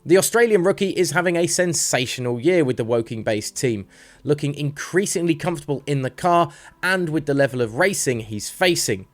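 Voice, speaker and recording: Male motorsport news presenter, scripted read, slightly high voice. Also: animated, a little fast.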